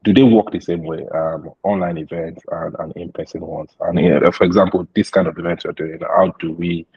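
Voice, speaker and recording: A man hosting an interview, asking questions hesitantly with many pauses and fillers. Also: low-pitched, steady tone, breathy edge. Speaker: interview host. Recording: dull lo-fi sound.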